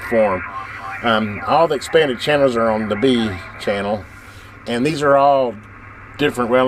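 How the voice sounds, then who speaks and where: Rambling man over clear background radio noise. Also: mid-range voice. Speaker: hobbyist video host showing a vintage radio. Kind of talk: casual unscripted show-and-tell. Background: CB radio hiss and chatter.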